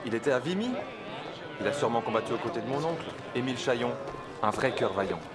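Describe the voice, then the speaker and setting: A man's voice: mid-range voice, animated, very fast, loud music behind the voice, lightly acted. An actor playing a curious, friendly Frenchman in a video game, with a French accent. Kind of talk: dramatic video game dialogue.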